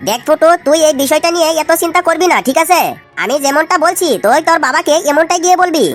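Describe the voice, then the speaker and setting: A woman's voice: high voice, quick pace, faint music behind, natural voice. A woman voicing a cheeky, wheedling young slacker lad in a comedy cartoon. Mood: coaxing. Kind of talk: amateur comedy cartoon dialogue.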